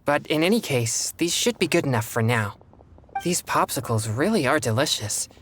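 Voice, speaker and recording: Man voicing an earnest teenage boy exorcist, expressive. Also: mid-range voice, a little hiss or hum, natural voice. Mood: thoughtful, appreciative. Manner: earnest, measured, formal. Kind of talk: video game voice acting.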